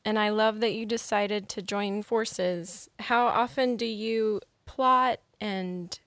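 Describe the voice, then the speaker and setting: A woman hosting a podcast, opening with a scripted introduction and then interviewing her guests in a measured, unhurried way. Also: medium pitch, fairly even intonation, a bit bright, no echo. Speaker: podcast host.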